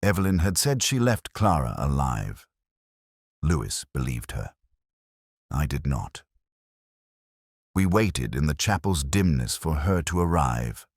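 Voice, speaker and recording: Super deep guy reading a detective story, lots of rise and fall, dry close sound. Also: very animated, no room sound. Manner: measured and reflective. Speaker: narrator. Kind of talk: scripted first-person detective narration.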